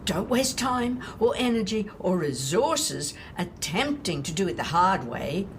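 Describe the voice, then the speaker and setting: A woman playing a despairing, scornful woman in an audio drama monologue, haltingly lecturing an unseen listener on humanity's end. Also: mid-range voice, big pitch swings, slight background noise. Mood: mocking, exasperated. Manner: deliberate, halting, rhetorical, addressing an unseen listener.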